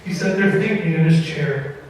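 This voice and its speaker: A man with a high-pitched voice tells a story on stage in a folksy, measured manner, pausing deliberately. He is heard at some distance from the mic in a big reverberant hall, as in a live old-time radio variety show. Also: a little mellow, a little hiss or hum. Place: opera house stage with live audience.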